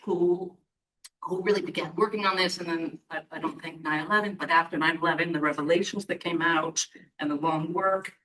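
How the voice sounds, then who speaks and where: A woman's voice: fairly low pitch, fairly even intonation, a little fast, sharp tone. A woman in the audience making a long, rambling comment with many restarts. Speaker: audience member. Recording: roomy hall, distant mic.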